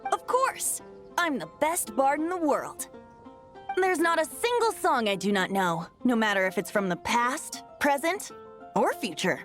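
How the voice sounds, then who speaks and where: A woman's voice: high voice, very animated, measured pace, fairly bright tone, faint music behind, heavily acted. A woman playing a playful, boastful young male bard. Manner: light, teasing, boastful.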